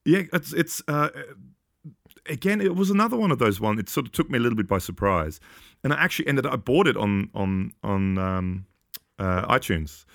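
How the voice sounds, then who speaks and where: A man with a low voice talking casually about an album, hesitant and laughing often as he goes. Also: lively intonation, moderate pace, creaky, a bit bright, dry recording, clean studio sound. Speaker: podcast guest. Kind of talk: casual music discussion.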